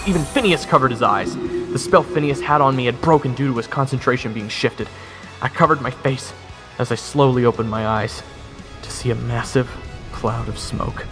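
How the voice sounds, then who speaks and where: A man's voice: mid-range voice, close to an everyday voice. A man playing a wry, sarcastic adventurer who narrates his own fantasy tale in a conversational way. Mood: tense, awed. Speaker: narrator and protagonist. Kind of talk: first-person dramatic narration.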